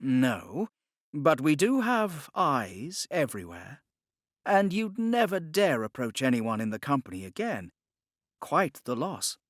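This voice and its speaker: A man voicing a smug, unruffled gentleman agent of a secret order in an audiobook, with a polished, formal, unhurried manner. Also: medium pitch, big pitch swings, no room sound, clean studio sound. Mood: composed, assured.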